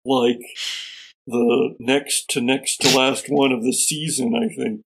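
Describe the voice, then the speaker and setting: American guy running a tabletop game, kinda raspy creaky voice, slow dramatic delivery. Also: medium pitch, little pitch movement, poor audio. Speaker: host and game master.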